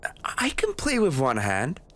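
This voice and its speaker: A man's voice: on the high side, wildly swooping pitch, a little slow, a bit bright, exaggerated voice. A man playing an injured young race-car driver boyfriend, tender and playfully needy, in an intimate roleplay audio. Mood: pleading, whiny.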